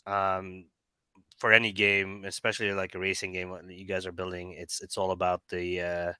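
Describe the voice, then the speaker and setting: A male host asks questions and praises his guest in an upbeat way, his voice going hoarse. The tone is low but very bright and crisp, and the recording is dry. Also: completely flat delivery, normal speed, no room sound. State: losing his voice. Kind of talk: casual interview.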